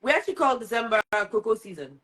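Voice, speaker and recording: A woman from Ghana chatting as a guest, enthusiastic and excitable. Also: a little high, fast. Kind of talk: casual chat.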